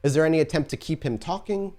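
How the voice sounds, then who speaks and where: A man's voice: a little high, quick pace, mellow. A man running a tabletop role-playing game as storyteller, narrating loosely and laughing often.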